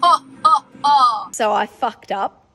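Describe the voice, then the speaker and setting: Young Australian woman, fairly high pitch, casual and wry, swears freely, phone-filmed with clear wind and engine noise behind. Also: animated, takes it slowly, pushed and bright. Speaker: farm vlogger. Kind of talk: casual vlog chat. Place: car, tractor cab and open paddocks on a windy farm. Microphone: phone camera held at arm's length.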